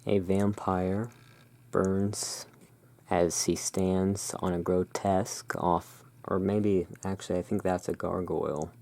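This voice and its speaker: Very low, creaky, slow ASMR voice. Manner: slow, hushed, deliberate. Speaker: host presenting tarot cards. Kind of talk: whispered ASMR narration.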